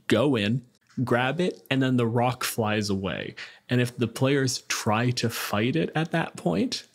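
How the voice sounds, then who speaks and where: A man's voice: medium pitch, expressive, takes it slowly, gravelly. A man talking casually on a tabletop gaming panel, explaining and arguing his points in an informal way. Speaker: podcast panelist.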